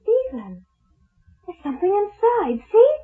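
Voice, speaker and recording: Very high-pitched, anxious radio-play wife, tinny. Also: female voice, big pitch swings, measured pace, exaggerated voice. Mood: amazed. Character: anxious, timid, devoted young wife. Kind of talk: old radio drama acting. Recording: tinny, narrow-band old radio recording.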